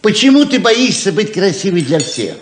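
A man's voice: high voice, sing-song, normal speed, a little hiss or hum. An actor playing a stubborn, loving middle-aged father in a 1970s Soviet radio play, emphatic and persuasive, moving between tenderness and insistence. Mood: tender insistence. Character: coaxing, stubborn, loving father of grown children. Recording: roomy 1970s radio drama recording.

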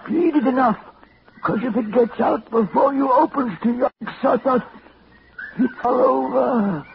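Dying old wizard, raspy and slow, old crackly radio broadcast. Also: male voice, extremely high pitch, muffled recording, exaggerated voice. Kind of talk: 1940s radio acting.

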